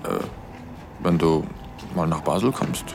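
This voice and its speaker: A man's voice: rumbling low, measured pace, vocal fry, background noise, heavily acted. A man playing a curious, candid acquaintance in a radio drama, chatting in a casual, slightly hesitant way. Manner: hesitant, conversational.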